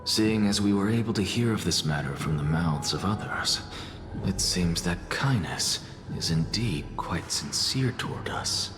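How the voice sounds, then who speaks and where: A dry, sardonic professor from a video game. His deep voice is composed yet very expressive in pitch. It rings with long reverb over music. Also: measured pace. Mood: composed, wry. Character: sardonic heretic scholar, cool male professor. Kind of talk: dramatic game voice acting.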